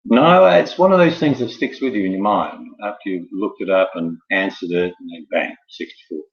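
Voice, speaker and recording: A man's voice: sits low, moderate pace. A man hosting an informal live quiz in a rambling, jokey manner with theatrical build-up. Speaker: quiz host. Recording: dull lo-fi, echoey room.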